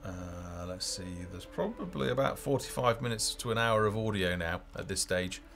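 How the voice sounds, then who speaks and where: A man's voice: lowish voice, expressive, moderate pace, creaky, fairly bright tone. A man hosting a casual game developer live stream, talking off the cuff in a hesitant, rambling way as he thinks aloud. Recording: music playing behind the voice.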